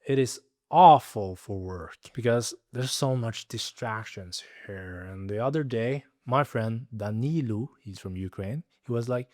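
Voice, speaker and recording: A fitness coach talking straight to camera in a scripted video, direct with a dry, joking manner. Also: male voice, medium pitch, measured pace, hardly any pauses, darkish tone, no echo.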